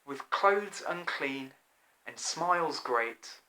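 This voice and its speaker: A man in his twenties reading a rhyming children's story in an expressive, deliberate, theatrical way. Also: fairly high pitch, low-quality recording. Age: 20s. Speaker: author reading own children's book. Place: small plain room indoors.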